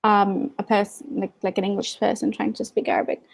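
A woman's voice: medium pitch, little pitch movement, a little fast, gravelly, dry recording. Wry young woman on a video call. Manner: candid, wry, many fillers and asides. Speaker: guest. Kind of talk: casual video-call interview. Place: living room at home. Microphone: video call webcam.

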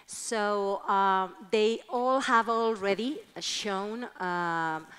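A woman, moderating a panel on a conference stage and pausing on many fillers. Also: mid-range voice, fairly bright tone, clear, resonant tone. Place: large conference hall stage. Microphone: headset mic.

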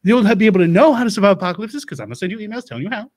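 A man playing a rambling doomsday-prepper host, talking fast, stumbling over words and jumping into loud, high bursts. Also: lively intonation. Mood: manic rambling. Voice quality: rapid, stumbling, loud bursts, drawling asides. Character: rambling Louisiana doomsday-prepper man, manic, self-assured. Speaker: livestream show host. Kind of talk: improv character comedy.

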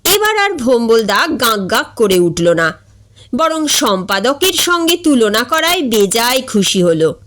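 A woman reads a comic story aloud at a slow, deliberate pace. Her narration is expressive, with a lively, animated pitch and a slightly high voice. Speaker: story reader.

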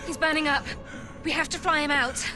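A woman's voice: high-pitched, fairly even intonation, a little fast, over loud music, close to an everyday voice. A woman playing a determined, caring survivor in a video game, speaking with emotive urgency. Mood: urgent.

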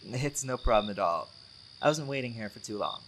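A man's voice: mid-range voice, lively intonation, a little fast, background noise, close to an everyday voice. A man playing a laid-back, charming, centuries-old vampire who teases and jokes casually with a friend in an audio roleplay. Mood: relaxed, amused. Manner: joking, teasing, often chuckling.

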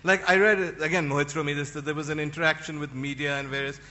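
A man's voice: fairly high pitch, steady tone, fast talker, sharp tone, muffled recording. A middle-aged man interviewing guests on a conference stage, probing and wry, speaking into a desk microphone. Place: large conference hall stage. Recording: long hall reverb.

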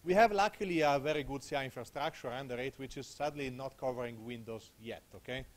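A man's voice: medium pitch, fast talker, slight background noise. A man in his thirties with an Italian accent, presenting at a conference on a handheld mic in a small room, casual and conversational, often checking in with "okay?". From Italy. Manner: conversational, tags points with "okay?". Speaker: conference speaker. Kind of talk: technical conference talk. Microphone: handheld microphone.

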